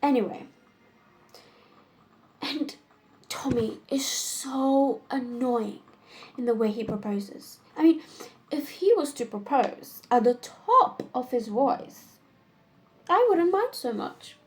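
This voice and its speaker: A young woman playing a witty, fussy upper-class society lady in a stage comedy monologue, deliberate and complaining. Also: sits high, expressive, low-quality recording.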